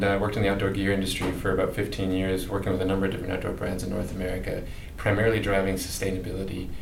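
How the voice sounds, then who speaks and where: A man with a Canadian accent introducing himself on a panel in a relaxed, filler-heavy way. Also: on the low side. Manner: relaxed, loosely paced with fillers. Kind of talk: casual self-introduction on a panel. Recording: roomy hall, mic at a distance, clear noise.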